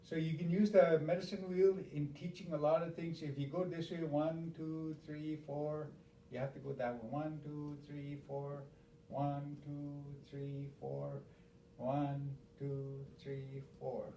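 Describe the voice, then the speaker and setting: Halting Stoney-speaking man teaching, roomy and distant. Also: a little high, little pitch movement, warm tone, a little hiss or hum. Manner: repeats words, counts aloud, halting restarts. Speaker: elder teacher. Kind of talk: informal spoken teaching.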